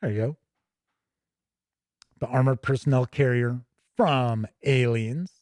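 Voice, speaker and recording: A man's voice: mid-range voice, slow, darkish tone, dry recording, studio quality. A man hosting a tabletop gaming show, giving casual, chatty, rambling commentary off the cuff. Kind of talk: casual unscripted commentary while leafing through a book.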